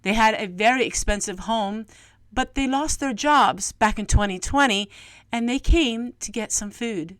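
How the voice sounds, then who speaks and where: An American woman reflects aloud to her online audience in a fairly high voice. She is earnest and a little preachy, leaning on rhetorical questions. The recording is dry, with very little room sound. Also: measured pace, fairly bright tone, dry recording. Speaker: vlogger. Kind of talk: personal reflective monologue to viewers.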